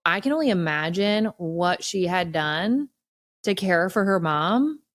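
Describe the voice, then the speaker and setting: A woman chatting casually as a podcast co-host, telling things in an animated way with wry exaggeration. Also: lowish voice, measured pace, no room sound, studio quality.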